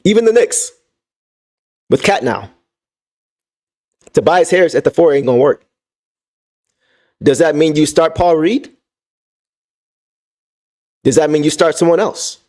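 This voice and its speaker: A young man with a voice going hoarse, hosting a livestream and venting about basketball, repeating his points with emphasis and talking to the chat. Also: fairly high pitch, lively intonation, a little slow, pauses often, a little mellow. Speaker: sports fan channel host. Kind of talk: livestream sports rant.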